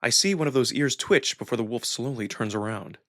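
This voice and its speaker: A man's voice: mid-range voice, brisk, fairly bright tone, dry recording, studio quality. A man reading first-person narration from a visual novel in a dramatic storytelling style. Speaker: narrator and voice performer.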